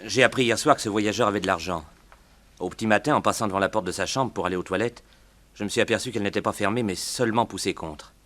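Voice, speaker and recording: A lowish, very quick male voice from an actor as a petty crook cornered in a sixties radio serial. He sounds defensive, explaining himself in a hurry. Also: a bit bright, close to an everyday voice. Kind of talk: 1960s French radio crime drama acting.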